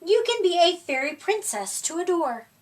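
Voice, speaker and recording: A woman's voice: very high voice, sing-song, normal speed. A woman putting on a bossy little girl cat. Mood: playful. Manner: chirpy, insistent storybook voicing. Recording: voice from far off the mic.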